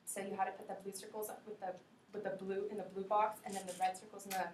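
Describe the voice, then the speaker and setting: A woman's voice: mid-range voice, little pitch movement, fast, a little mellow. Young woman giving a class speech. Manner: earnest, frequent restarts and self-corrections. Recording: roomy classroom audio from a distance with faint noise.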